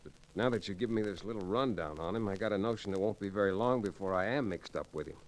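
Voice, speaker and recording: An actor playing a cool, steady frontier lawyer in an old-time radio western, speaking in a measured, understated way. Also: male voice, on the low side, natural voice. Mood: cool, probing. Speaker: lead actor and narrator. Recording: noisy old radio recording.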